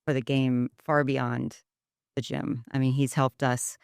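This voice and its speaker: A woman guest on a TV interview, praising warmly in halting, gushing phrases. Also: rumbling low, level tone, gravelly, smooth, dry recording, studio quality. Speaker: volleyball program guest.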